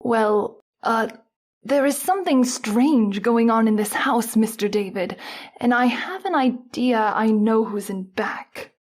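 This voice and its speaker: Female actor, higher register: earnest, nervous woman of a troubled household, old-time radio mystery. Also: takes it slowly, darkish tone. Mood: nervous, deferential.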